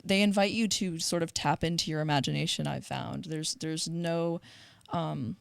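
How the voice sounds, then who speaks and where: A woman's voice: low-pitched, normal speed, gravelly, no room sound. A woman speaking as a podcast guest in a casual, conversational style. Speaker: community coordinator, podcast guest.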